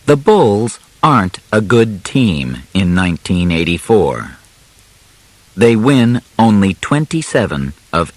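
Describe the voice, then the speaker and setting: Male narrator for a simplified audiobook: very unhurried, clearly articulated phrasing, lively intonation, dry close sound. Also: lowish voice, no echo. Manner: deliberate, carefully enunciated, short phrases with pauses.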